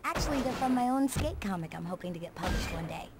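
A woman's voice: on the low side, lively intonation, fast talker, very noisy. A young woman with an American accent playing an upbeat skater girl and comic artist in a video game cutscene, perky and slangy. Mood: eager.

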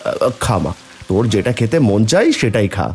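A man's voice: lowish voice, very animated, normal speed, over loud music. A man voicing a mild, reassuring village husband and father in a fairy-tale cartoon. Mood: homely.

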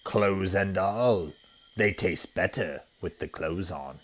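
A man voicing a cunning, greedy, gloating crocodile in a storybook, with a slow, savouring, menacing delivery. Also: low voice, slight background noise.

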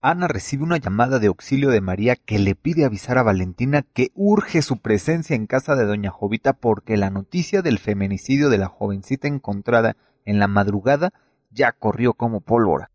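A man with a husky voice reading dramatic scripted narration for a radio serial. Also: medium pitch, lively intonation, a little fast, darkish tone, no echo, studio quality.

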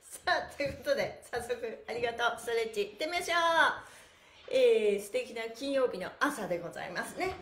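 High-voiced cheerful woman leading stretches, echoey room. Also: animated, moderate pace, fairly bright tone. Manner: cheerful, encouraging, polite, sing-song chanting. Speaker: stretching instructor and livestream host. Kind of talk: upbeat guided exercise class with chanted counts. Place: small bare indoor room. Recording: echoey room with faint noise.

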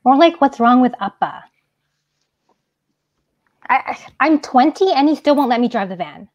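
A woman's voice: high-pitched, animated, moderate pace, darkish tone, dry recording, highs cut off. A young woman reading a sarcastic, exasperated twenty-year-old daughter over a video call.

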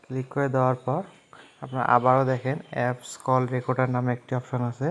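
A man's voice: medium pitch, completely flat delivery, a little fast, a little hiss or hum. A man narrating a phone app tutorial step by step, in a repetitive, instructional way. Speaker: tech tutorial host.

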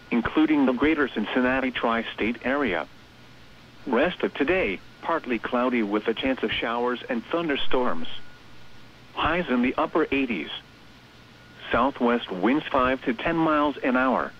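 A synthetic male voice reading a scripted weather forecast on radio in a measured, impersonal way. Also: medium pitch. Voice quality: synthetic text-to-speech voice. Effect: radio transmission. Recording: muffled, hissy weather radio broadcast.

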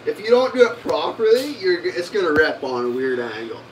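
A man's voice: on the high side, very animated, a little fast, recorded from a distance, background noise. A young man in his twenties, swearing and rambling casually while cooking in a small home kitchen. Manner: rambling, heavy swearing and fillers. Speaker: cooking stream host.

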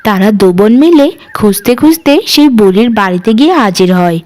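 A woman's voice: mid-range voice, lively intonation, fairly quick, a little mellow. A woman narrator reading a scripted fairy tale for a cartoon.

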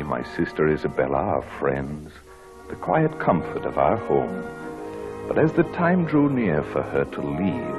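Proud well-bred gentleman, very deep, lively intonation, vintage radio play with music behind. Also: heavily acted. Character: well-bred, haughty young gentleman, courteous to ladies. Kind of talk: 1940s radio acting. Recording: dull old radio broadcast recording.

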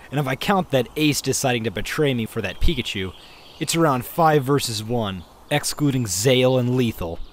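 A man playing a ruthless, menacing fox-like monster villain in a comic dub, given to shouting. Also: mid-range voice, slight background noise, natural voice.

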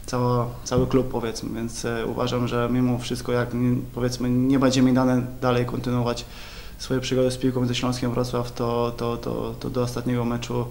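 Young man, footballer, press conference, halting and repetitive, flat monotone, slight background hiss. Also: medium pitch, creaky. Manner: halting, many repeated words and fillers. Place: club press room, indoors. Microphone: table mics in front.

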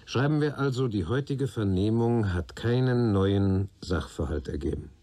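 An actor playing a sober, sceptical police inspector in a radio play, speaking in a matter-of-fact, official way. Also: male voice, on the low side, animated, normal speed, dark tone. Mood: businesslike.

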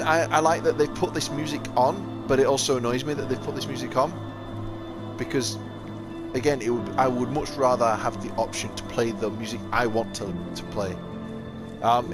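A man with a South Yorkshire accent giving casual, rambling gameplay commentary, often correcting himself mid-sentence. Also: medium pitch. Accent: South Yorkshire English. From England. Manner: rambling, chatty, self-correcting, laughs often. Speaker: gaming channel host. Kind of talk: casual let's-play commentary. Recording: game music faintly behind.

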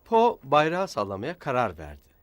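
A man's voice: medium pitch, wildly swooping pitch, moderate pace, over loud music. A man narrating a children's show in a scripted storybook style. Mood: storytelling.

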